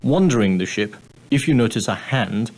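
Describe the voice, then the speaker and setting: An actor playing a straight-laced, proper ocean-liner steward in a video game, speaking formally and deferentially with precise, politely dry phrasing. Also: male voice, medium pitch, animated, normal speed, darkish tone. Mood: courteous, instructive. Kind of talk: scripted game dialogue, giving guidance.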